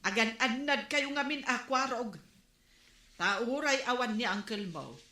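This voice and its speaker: A woman's voice: a little high, expressive, a little slow, sharp tone, reverberant. An actress playing a stern mother who scolds and nags in a comedic drama. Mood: scolding. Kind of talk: comedic radio drama acting.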